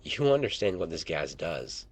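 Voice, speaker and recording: A man's voice: low voice, big pitch swings, normal speed, husky, full character voice. A man voicing a menacing, controlling male gang leader who speaks in challenges in a story. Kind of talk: story character dialogue.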